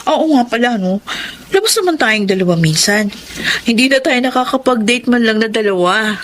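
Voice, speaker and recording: An actress playing a cheerful, caring older sister in a Filipino radio drama, chatty and solicitous. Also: female voice, fairly high pitch, expressive, normal speed, a little hiss or hum, lightly acted.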